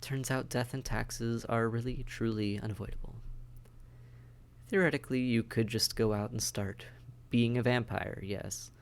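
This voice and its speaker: A man's voice: mid-range voice, fairly even intonation, normal speed, close to an everyday voice. A man acting a friendly, dry-witted vampire caseworker in a conversational audio roleplay, wry, understated and matter-of-fact. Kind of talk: conversational audio roleplay, one side of a dialogue.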